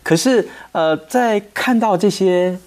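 A man with a Taiwanese Mandarin accent hosting a radio interview, putting questions politely and deferentially in measured chunks. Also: high-pitched, a little mellow. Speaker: radio programme host, interviewer. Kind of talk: conversational interviewing.

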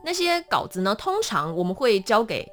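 A young woman with a Taiwanese Mandarin accent explaining her work as an interview guest in an animated, self-deprecating, casual way. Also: mid-range voice, moderate pace, soft background music. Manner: animated, self-deprecating, acts out small examples.

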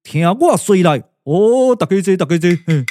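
A man's voice: high-pitched, big pitch swings, warm tone, no echo. A man with a Taiwanese accent playing a grave, courtly, emotional strategist in a glove-puppet radio drama, declaiming theatrically. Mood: solemn, courtly. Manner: declamatory, theatrical, drawn-out exclamations.

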